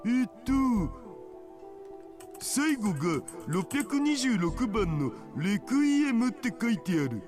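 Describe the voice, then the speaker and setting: An actor playing a laid-back, geeky young hacker friend with a casual, slangy drawl in a visual novel. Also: male voice, high voice, very animated, moderate pace. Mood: helpful, curious. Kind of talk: Japanese visual novel voice acting. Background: game music.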